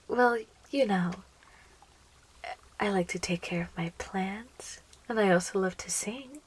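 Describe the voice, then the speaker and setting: A woman voicing a young, possessive, lovestruck plant monster girl in an intimate, breathy, slow and coaxing ASMR roleplay, close to the microphone. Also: medium pitch, expressive, poor audio. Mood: teasing, sweet. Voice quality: breathy, whispery. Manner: slow, coaxing, teasing, giggly, doting.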